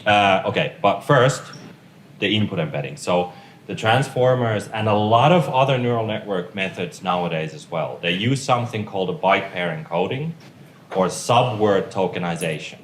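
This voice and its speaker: A Finnish-native man gives a conference tutorial in a loose, joking way. His voice sits low. The room echoes and has a faint hiss behind him. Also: animated, moderate pace. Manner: informal, joking with the audience. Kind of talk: technical lecture.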